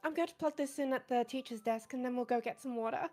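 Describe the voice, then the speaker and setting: A woman playing a shy, polite, bookish high school girl with a soft, hesitant delivery in a visual novel game. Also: quick pace, faint music behind, lightly acted.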